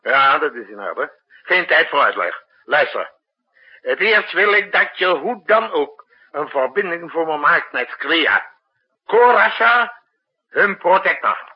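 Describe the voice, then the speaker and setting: Commanding male leader, imperious, slow deliberate delivery, muttering asides, dull 1960s radio drama recording. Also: a little high, lively intonation, breathy edge, full character voice. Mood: brisk, commanding. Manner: brisk, imperious, muttering to himself between orders. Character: commanding, harried male state leader issuing urgent emergency orders.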